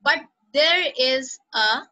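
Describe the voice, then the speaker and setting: A high, bright-voiced woman teaches an online class. She praises students by name and repeats each instruction patiently. Her voice comes through a computer microphone on a call. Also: takes it slowly, dull audio. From Pakistan.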